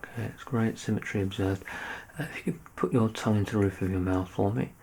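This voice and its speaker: A man with a fairly low voice performs an ASMR doctor roleplay. He instructs softly and reassuringly, as if guiding a patient through an exam. Clear background noise and ambience sit behind his voice. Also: quick pace.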